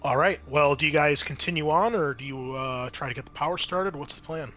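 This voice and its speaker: Bright-voiced man running a tabletop game. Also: on the high side, a little fast, lo-fi sound. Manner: conversational, explanatory. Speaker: game master. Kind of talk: improvised narration of a tabletop horror game.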